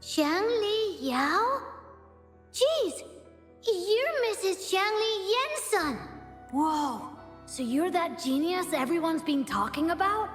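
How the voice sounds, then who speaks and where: A woman voicing a friendly, excitable young girl who leads a little gang, in an anime-style video game. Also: very high-pitched, slow pace, heavily acted. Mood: starstruck, gushing. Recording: long reverb tail, music underneath.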